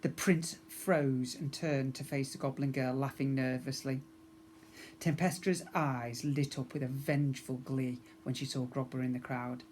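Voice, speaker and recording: A man reads a storybook aloud in the manner of a primary school teacher. His narration is expressive and aimed at young children. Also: mid-range voice, measured pace, a little hiss or hum.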